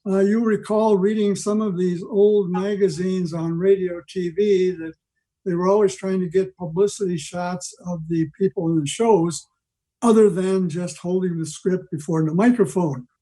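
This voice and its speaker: An older man giving an informal history talk on a video call, rambling along with halting digressions. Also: high voice, fairly even intonation, warm tone, poor audio. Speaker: club member giving a presentation. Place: video meeting from home.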